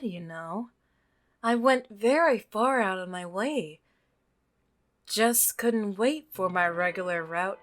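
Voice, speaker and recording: A woman voicing an obsessive, unsettling milkman in a visual novel, soft-spoken, coaxing and falsely reasonable. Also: mid-range voice.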